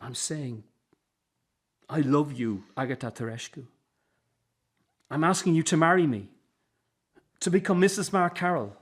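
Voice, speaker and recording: Earnest Irish circus boss. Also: male voice, a little high, animated, a little slow, pauses often, husky. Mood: tender, earnest. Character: Mark Carroll, weary, earnest Irish circus boss, troubled son. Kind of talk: radio drama acting.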